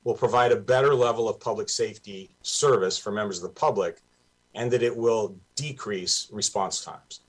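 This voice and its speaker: A man's voice: on the low side, moderate pace, slightly rough, rough recording. A middle-aged man giving a formal prepared statement as a public safety official.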